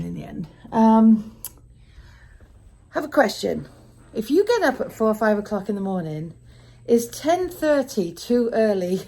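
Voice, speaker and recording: A middle-aged woman with a raspy, tired voice, chatting casually to camera in a rambling, self-deprecating daily vlog. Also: mid-range voice, warm tone. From United Kingdom. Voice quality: raspy, gravelly. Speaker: vlogger.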